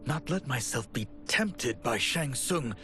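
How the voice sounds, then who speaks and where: An actor playing a proud, solemn mage weighed by remorse, formal and measured, in a game cutscene. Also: male voice, medium pitch, animated. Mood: remorseful. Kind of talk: dramatic scripted game cutscene narration. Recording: loud music under the voice.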